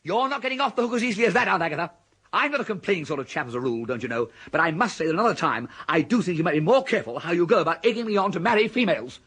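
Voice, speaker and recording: Radio comedy: upper-class English young fop, quick, lively, slightly husky, self-righteous blither. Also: male voice, high voice, exaggerated voice. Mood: triumphant scolding. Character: foppish, cheerfully indignant upper-class young English gentleman. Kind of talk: broadcast radio comedy acting.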